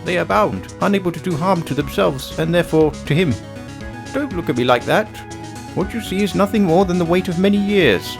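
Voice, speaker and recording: A man's voice: high-pitched, a little fast, gravelly, music in the background. An actor playing a troubled, blustery old attorney in a video game, with an old-fashioned, grandfatherly way of talking. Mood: grave, resigned. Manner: blustering, old-fashioned, stammering when flustered. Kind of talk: dramatic video game character acting.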